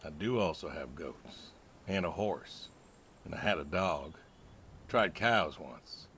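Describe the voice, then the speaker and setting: An actor playing a gentle, plainspoken older farmer in a video game, with a laconic, dry manner. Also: male voice, low-pitched, lively intonation, takes it slowly, a little hiss or hum, heavily acted. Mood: dry, matter-of-fact. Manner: laconic, dry, folksy, understated.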